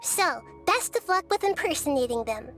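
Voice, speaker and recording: A woman voicing a calm, gentle young female deity in a video game, speaking in a measured, thoughtful way. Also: high voice, faint music behind, exaggerated voice. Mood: calm, planning.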